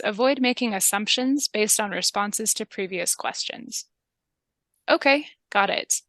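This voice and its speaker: A woman narrating a training video and playing a calm, reassuring public health interviewer. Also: on the high side, dry recording, clean studio sound.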